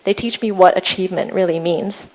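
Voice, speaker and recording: A young woman from Hong Kong giving an earnest, measured award acceptance speech at a podium microphone, slightly formal with small fillers. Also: lowish voice, a little mellow, rough recording. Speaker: award recipient. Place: event hall.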